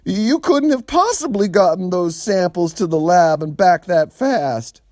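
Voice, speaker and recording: A man voicing an eager, slow-witted young male sidekick in a storybook reading, with a high, drawn-out, excited voice. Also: no room sound, studio quality. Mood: puzzled.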